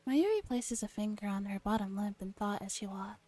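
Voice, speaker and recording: A woman streamer gently reading a visual novel aloud in a soft ASMR style, with relaxed casual asides. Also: medium pitch, a little fast, slight background noise. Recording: music behind the voice.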